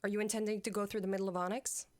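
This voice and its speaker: A woman's voice: medium pitch, level tone, rapid-fire, darkish tone, no room sound, close to an everyday voice. A woman playing a dutiful military commander in a fantasy audio story, speaking formally and directly to her king. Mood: businesslike, questioning. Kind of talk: audio fiction acting.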